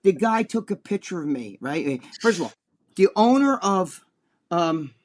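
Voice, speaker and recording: An older man with a New Jersey accent, telling a story on a casual podcast. He swears often and acts out quoted lines in a raised voice. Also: measured pace. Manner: animated, profane, acts out quotes in a high voice. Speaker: podcast co-host.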